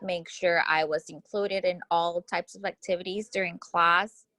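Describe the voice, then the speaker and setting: A woman's voice: fairly low pitch, steady tone, unhurried, pushed and bright, dry recording. A young woman from Houston whose first language is Spanish, answering interview questions in an earnest, reflective way with small restarts. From Houston, Texas.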